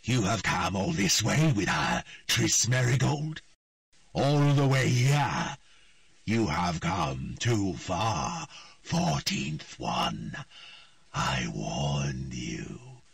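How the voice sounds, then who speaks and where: A man voicing a cold, hostile, inhuman presence speaking through a young girl, slow and mocking. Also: low-pitched, sing-song, vocal fry, slightly rough, no room sound, studio quality, distant mic, exaggerated voice. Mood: menacing.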